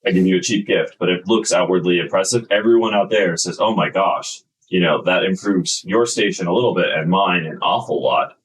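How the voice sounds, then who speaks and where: A man's voice: sits low, brisk, few pauses, distant mic, low-quality recording. A man narrating a tabletop game as game master, explaining at length with many fillers.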